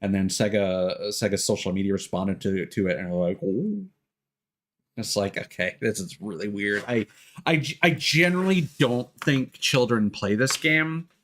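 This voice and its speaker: A man's voice: fairly low pitch, expressive, normal speed, fairly bright tone. A man livestreaming a video game, chatting casually and thinking aloud in a hesitant, rambling way. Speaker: game live streamer. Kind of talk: casual livestream chat while gaming. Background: game music.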